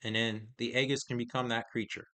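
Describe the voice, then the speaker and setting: A man with a bright, ringing voice talks through new trading cards in a relaxed review, reading card text aloud and then giving his take. He talks haltingly, with pauses and restarts, and his voice is going a little hoarse. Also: mid-range voice. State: voice getting hoarse.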